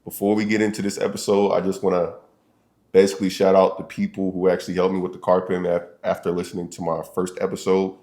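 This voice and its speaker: A young man with a very deep voice hosts a casual podcast from a college dorm. He talks in easygoing slang and breaks into laughter while telling stories. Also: monotone, fast talker, mellow. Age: young adult. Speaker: podcast host. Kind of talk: casual chat.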